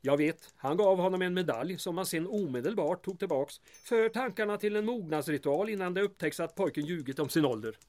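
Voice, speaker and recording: Guy acting as a small town mayor, pretty high voice, polite but dry and sarcastic, radio play style. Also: animated, hardly any pauses, a little mellow. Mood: dryly teasing. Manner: dry, deferential wording laced with sarcasm. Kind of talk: audio drama dialogue.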